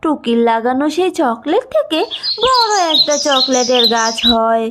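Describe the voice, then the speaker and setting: Woman narrator for a cartoon story, high voice, scripted storytelling. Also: big pitch swings, a little slow, heavy background noise.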